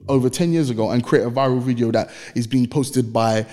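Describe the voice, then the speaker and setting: A man's voice: medium pitch, fairly quick, dark tone. Earnest, slangy East London man. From East London, England. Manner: earnest, repeats phrases for emphasis, slangy. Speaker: podcast guest, dancer. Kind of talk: casual podcast chat.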